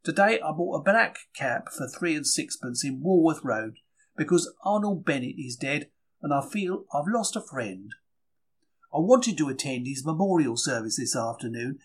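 A man voices a young working-class bookseller of the 1930s telling his diary. His voice is fairly high and rough-edged. He is chatty and plucky, wry and quick to take things to heart, with emphatic, colloquial phrasing. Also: lively intonation. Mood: matter-of-fact, indignant. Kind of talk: dramatic first-person diary reading.

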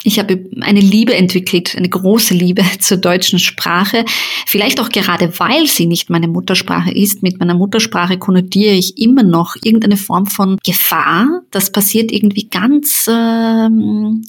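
A woman's voice: medium pitch, a little slow, husky. A woman hosting an interview podcast, speaking in a thoughtful, searching way with frequent fillers. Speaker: podcast host and journalist. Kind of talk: personal reflective conversation.

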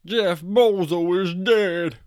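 A blustery, boastful man with a smooth, resonant voice, put on by a male storyteller. He swears freely and drawls in a folksy way, heard close and dry with no room around him. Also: medium pitch, a little slow, no echo, close to an everyday voice. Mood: shouting. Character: brash loud adult man, boastful party guest.

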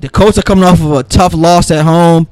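A man with a raspy, worn-down voice talks through a casual solo podcast, sounding a bit sick. He rambles loosely, restarting lines and filling gaps with ums. His voice sits a little high for a man, with faint music underneath. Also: steady tone, a little fast, a little mellow, no echo. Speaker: podcast host making football picks.

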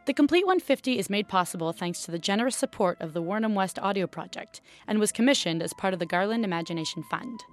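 A woman's voice: medium pitch, lively intonation, fast talker, few pauses, soft background music. A woman reading scripted narration as an announcer, in the style of programme credits.

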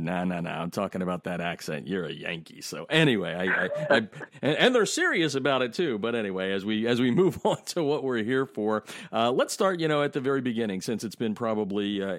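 A man's voice: on the low side, dry recording, studio quality. A man from Pennsylvania with a Lehigh Valley Dutch-tinged accent, hosting a podcast interview in a chatty way, laughing easily with frequent "uh" fillers. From Pennsylvania, USA. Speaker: podcast host.

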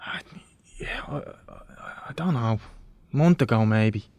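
A man's voice: medium pitch, expressive, unhurried, a little mellow, no room sound. An actor playing a defeated young man in a radio drama, hesitant and downbeat. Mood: evasive, glum. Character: glum, self-pitying, defeated young man. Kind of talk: naturalistic radio drama acting.

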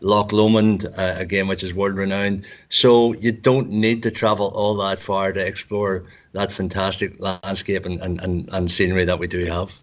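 A man speaking casually about his university's outings in a fairly low voice. He hesitates often and repeats words before getting his point out. The recording sounds dull and lo-fi. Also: flat delivery, normal speed, few pauses. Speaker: university recruitment staff. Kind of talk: casual panel discussion.

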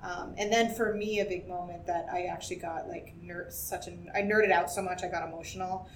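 Chatty giggly woman from new hampshire, kinda low voice, roomy echo, sounds far off. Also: steady tone, a little fast. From New Hampshire, USA. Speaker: taproom co-owner. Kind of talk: casual interview chat. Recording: echoey, distant mic with rumble noise.

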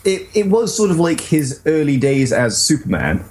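Animated man in casual banter. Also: on the high side, moderate pace. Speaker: podcast co-host.

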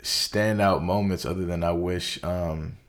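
A young man co-hosting a podcast, talking loosely about movies in slangy, animated casual chat with lots of fillers. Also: low-pitched, a little slow. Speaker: podcast co-host.

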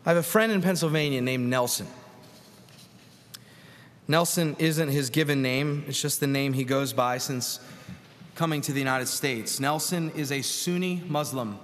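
A middle-aged man, a Presbyterian pastor, preaching a sermon in a church with earnest storytelling, pausing and repeating words. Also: medium pitch, fairly bright tone. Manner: earnest storytelling with pauses and repetitions. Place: church sanctuary. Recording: long hall reverb.

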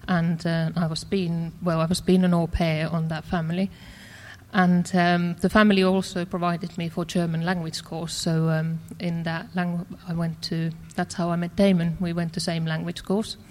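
A woman's voice: lowish voice, level tone, moderate pace, a little mellow. A woman from Finland with a Finnish accent, a native Finnish speaker, shares her personal testimony in a hesitant way with many fillers and restarts. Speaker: church member sharing her story.

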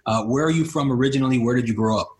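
A man's voice: medium pitch, rapid-fire. A man co-hosts a talk show and brings in a guest. He sounds upbeat and uses plenty of fillers, and his voice sits in a middle range. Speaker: co-host.

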